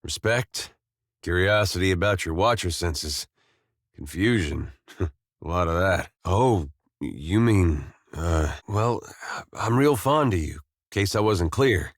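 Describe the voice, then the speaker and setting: A deep male voice, recorded very dry, a farmer turned adventurer in a video game. He is gentle and loyal, with some weariness of the world in him. He talks plainly and folksily, understating things with hesitant, dry humor. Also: lively intonation, slow pace, no echo, exaggerated voice. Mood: resolute, warm, then awkward, fond. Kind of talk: video game companion dialogue.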